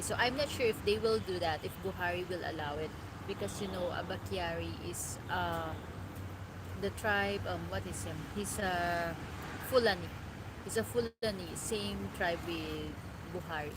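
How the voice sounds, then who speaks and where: A woman from the Philippines with a Filipino accent talks casually to her followers, halting and often checking in with an "okay". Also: fairly low pitch. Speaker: vlogger. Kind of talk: casual opinion talk to followers. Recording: loud background noise, vehicle and outdoor ambience.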